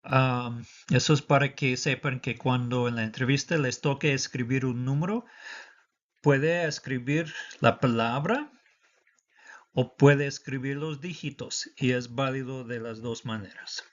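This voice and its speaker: Guy teaching citizenship test prep, slow and patient, mixes spanish and english, kinda dull audio. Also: mid-range voice.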